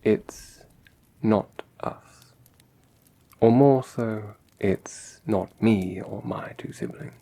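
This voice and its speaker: A man playing a courteous, brooding young vampire in an ASMR roleplay, speaking in a measured, formal, reassuring way. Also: on the low side, creaky, warm tone, heavily acted. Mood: grave, wry.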